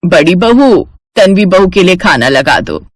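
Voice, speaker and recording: A woman's voice: lowish voice, sing-song, a little slow, dry recording, heavily acted. A woman voicing an older mother-in-law in a family story, doting and indulgent with a fawning manner. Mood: soothing.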